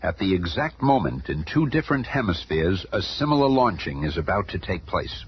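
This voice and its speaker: A man's voice: deep voice, sing-song, moderate pace. A man narrating a 1970s radio serial in a dramatic, theatrical way that builds suspense. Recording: very noisy old radio recording.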